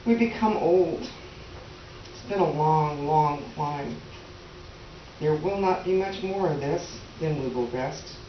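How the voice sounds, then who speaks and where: A woman's voice: low-pitched, takes it slowly. Woman playing a weary farm mother, echoey and noisy. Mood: resigned, solemn. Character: weary, resigned tenant farmer's wife and mother. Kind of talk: readers theater dramatic monologue. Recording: echoey room, distant mic, noisy, dull.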